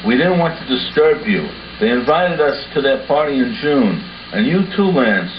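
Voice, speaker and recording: A man's voice: mid-range voice, expressive, normal speed, lightly acted. Man as a proud dad puppet, echoey room. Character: proud, kindly suburban father puppet. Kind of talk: amateur puppet show acting. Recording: muffled, echoey room with faint noise.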